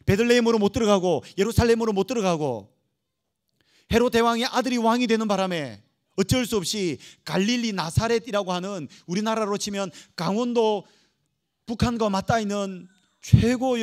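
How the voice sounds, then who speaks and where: A man's voice: high-pitched, darkish tone. A man preaching a church sermon as a pastor, emphatic and dramatic, putting rhetorical questions to his congregation. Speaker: pastor preaching to young adults.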